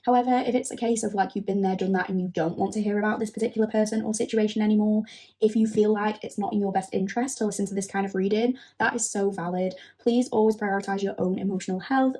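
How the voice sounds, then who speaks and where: Husky woman with a cold. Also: medium pitch. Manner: gentle, reassuring, thorough. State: recovering from a cold. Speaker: tarot reader. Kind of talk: friendly scripted intro to a tarot reading.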